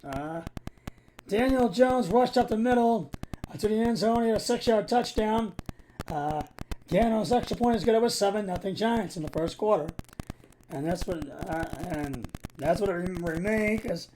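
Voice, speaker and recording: Male amateur sports host, raspy mid-high voice, halting and stumbling delivery, noticeable background noise. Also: warm tone, rough recording. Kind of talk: amateur football game recap.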